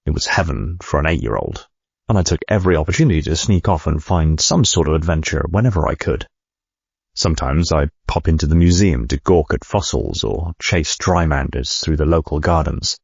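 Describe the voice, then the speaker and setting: Give a very deep, husky corporate boss reading his memoir like an audiobook. He speaks in a measured, reflective way. The sound is close, dry and a little dull. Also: animated, no echo, heavily acted. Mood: wistful. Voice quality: gravelly, husky. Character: adult male corporate CEO reading his own autobiography. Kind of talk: scripted audiobook narration.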